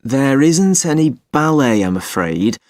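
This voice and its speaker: A man playing a patient, polite festival information officer in an acted listening exercise, giving details in a measured, helpful way. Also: mid-range voice, sing-song, a little mellow, no echo, studio quality. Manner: measured, helpful, spells words out slowly. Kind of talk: acted listening-test dialogue, clearly enunciated.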